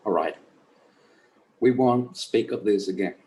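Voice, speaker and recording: A man playing a stern, world-weary old Mexican grandfather with a measured, admonishing manner in a screenplay table read. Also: on the low side, dark tone, lightly acted. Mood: stern, forgiving.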